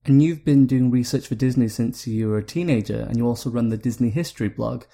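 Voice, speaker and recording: A man hosting a podcast, giving a prepared, smooth intro and asking interview questions. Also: mid-range voice, fast talker, dark tone. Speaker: podcast host.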